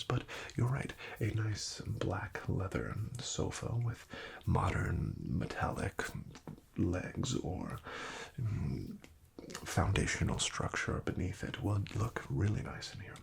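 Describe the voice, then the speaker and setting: A man speaking in a breathy, often whispered ASMR style, playing a friendly, unhurried real estate agent. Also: sits low. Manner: friendly, unhurried, gently attentive salesman. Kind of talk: soft-spoken, whispered ASMR roleplay.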